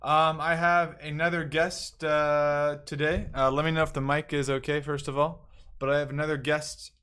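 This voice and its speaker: A man's voice: on the high side, moderate pace, smooth. A man hosting a casual livestream news show, talking loosely with frequent fillers and restarts. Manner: relaxed, hesitant with many fillers. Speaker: host.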